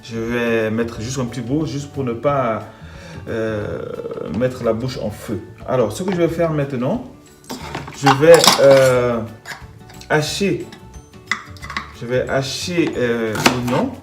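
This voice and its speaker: A man's voice: mid-range voice, takes it slowly, music in the background. A man from the Netherlands with a Dutch accent, speaking French, talking through a home cooking video with many hesitations and restarts. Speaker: home cook.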